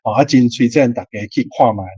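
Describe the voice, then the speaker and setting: A man with a Taiwanese accent and a husky voice, explaining things casually as he discusses a story. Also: mid-range voice, a little fast, dark tone, no echo. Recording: dull, slightly lo-fi dry recording.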